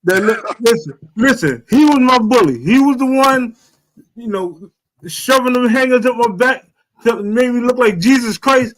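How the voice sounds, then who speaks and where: High-pitched man interjecting casually. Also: lively intonation, moderate pace, a bit bright, poor audio. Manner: repetitive, interjecting, calling for attention. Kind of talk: casual livestream chat with slang.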